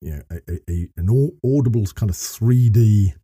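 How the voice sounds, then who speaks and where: A middle-aged man with a very low, dark and slightly husky voice talks on a podcast. He explains things earnestly and at length, often rephrasing as he goes. The sound is close and dry. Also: a little fast, dry recording. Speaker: podcast guest, voice actor and narrator.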